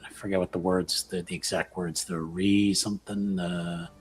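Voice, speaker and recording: A man's voice: deep voice, level tone, moderate pace, soft background music. A man talking casually as a player in a tabletop roleplaying game.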